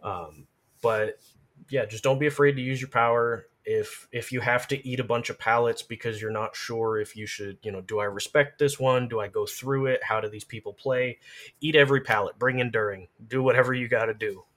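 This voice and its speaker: A man's voice: mid-range voice, fairly even intonation, brisk, a bit bright. A man, a streamer and podcast guest, casually explaining game tactics in an emphatic, encouraging way.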